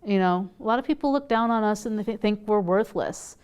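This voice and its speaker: A woman's voice: medium pitch, a little fast, darkish tone, clean tone. A woman interpreting in the first person for a deaf man in a news interview.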